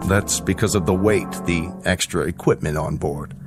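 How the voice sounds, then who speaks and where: An actor playing a measured, grave older scientist in a radio drama, speaking precisely and formally with a fatherly authority. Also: sits low, music in the background, natural voice. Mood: businesslike. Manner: precise, deliberate, formal, explanatory. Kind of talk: radio drama acting, deliberate dialogue.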